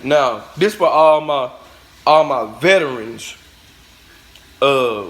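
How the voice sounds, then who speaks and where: A man's voice: medium pitch, animated, takes it slowly, fairly bright tone. A man delivering a blunt, profane, preachy rant straight at his viewers in a vlog. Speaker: vlogger. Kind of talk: ranting monologue to camera.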